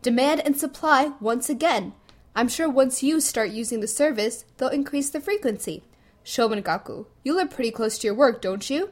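Calm lecturing daughter voiced by a woman, slow deliberate delivery, slightly high voice. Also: full character voice. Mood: reasoning. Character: level-headed young adult daughter arguing with her dad. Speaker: daughter in a radio play. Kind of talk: audio play acting.

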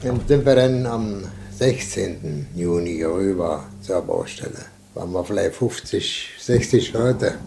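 A man's voice: sits low, lively intonation, a little slow, creaky, hardly any pauses, dark tone, slight background noise. An older man with a Berlin accent telling an eyewitness recollection in a colloquial, anecdotal way. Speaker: eyewitness, former construction worker. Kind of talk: spoken recollection.

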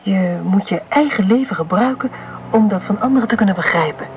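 An actress playing a fearful, earnest woman recalling childhood terror in a radio play, speaking quietly and intensely. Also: mid-range voice, lively intonation, a little fast, slight background noise, natural voice. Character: fearful woman recalling being buried in sand.

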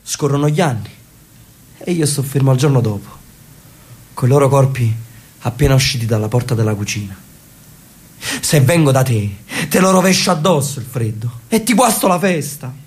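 A man's voice: medium pitch, expressive, moderate pace, pauses often, darkish tone, lightly acted. A man voicing an elderly, solitary brother in a radio drama, speaking in a brooding, reflective way. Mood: melancholic.

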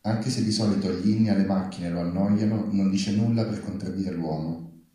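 Male reader for literary prose, low and dark in tone, in a heavily reverberant hall. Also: fairly even intonation, normal speed. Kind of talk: reading literary prose aloud. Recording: very echoey live hall.

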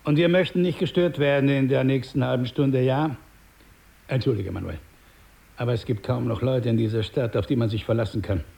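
An actor playing a powerful, smooth-talking crime boss in a radio drama, patronizing and unhurried in his politeness, with impatient asides. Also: mid-range voice, dark tone, close to an everyday voice. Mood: condescending, controlled. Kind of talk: German radio drama acting, with Spanish asides.

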